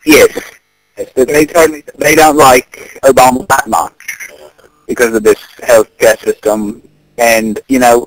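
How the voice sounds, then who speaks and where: A man playing an earnest, concerned British neighbour on a prank phone call, speaking haltingly and repeating his words. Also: medium pitch, no room sound, close to an everyday voice.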